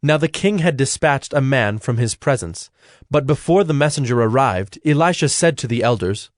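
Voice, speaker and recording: A man narrating a dramatized scripture reading in a measured, solemn manner. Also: mid-range voice, expressive, dry recording, studio quality. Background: soft background music.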